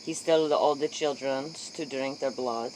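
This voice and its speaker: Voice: mid-range voice, steady tone, unhurried. An interviewer in an improvised mock interview, echoing and paraphrasing what the guest says. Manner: echoes and paraphrases the guest's answers. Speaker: late-night interviewer. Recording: faint noise, crickets outdoors.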